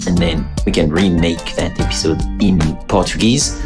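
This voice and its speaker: A podcast host with a fairly low voice, chatting casually and playfully. He jokes a lot and laughs readily with his guest. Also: moderate pace, over music. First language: French. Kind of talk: casual interview chat.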